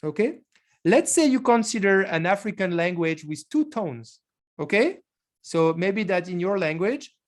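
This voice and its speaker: Middle-aged guy lecturing over a webcam, explains step by step, restarts a lot. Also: sits high. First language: French. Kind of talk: online lecture.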